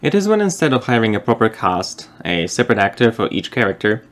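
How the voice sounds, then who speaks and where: A man's voice: fairly low pitch, sing-song, fast talker, fairly bright tone. Wry young man. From Poland. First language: Polish. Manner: dry, wry, explanatory. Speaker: video essayist. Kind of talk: scripted narration.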